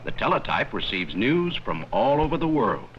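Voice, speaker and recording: A man reading scripted 1950s educational film narration in a measured, explanatory manner with simple, plain sentences. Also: mid-range voice, big pitch swings, slightly rough, dull audio. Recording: worn, noisy 1950s 16mm film soundtrack.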